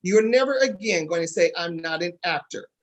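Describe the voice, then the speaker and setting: A talk show host with a husky voice, addressing guests and viewers in an emphatic, encouraging way that repeats phrases for stress. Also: medium pitch, lively intonation, moderate pace, dull audio.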